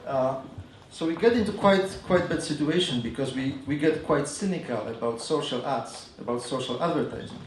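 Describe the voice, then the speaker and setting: A man with a Polish accent giving a conference talk on advertising, halting and full of fillers and restarts. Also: fairly high pitch, a little hiss or hum. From Poland. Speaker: advertising agency speaker. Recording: echoey room from a distance.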